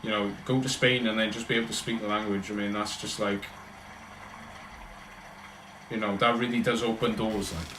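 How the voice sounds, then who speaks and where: A man's voice: on the low side, level tone, normal speed, long pauses, noisy background. Rambling Welsh man, roomy echo. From Wales. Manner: rambling, chatty, talks in loose run-on thoughts. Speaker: livestreamer answering chat. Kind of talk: casual livestream chat.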